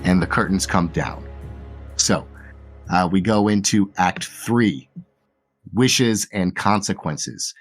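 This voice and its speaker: A man running a tabletop game as game master, relaxed and full of fillers. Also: low voice, slow, long pauses, soft background music.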